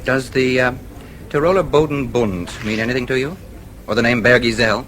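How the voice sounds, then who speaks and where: A man's voice: medium pitch, moderate pace, fairly bright tone, a little hiss or hum, lightly acted. An actor playing a wry, breezy American newspaperman in a radio drama, casual and knowing, with an American accent.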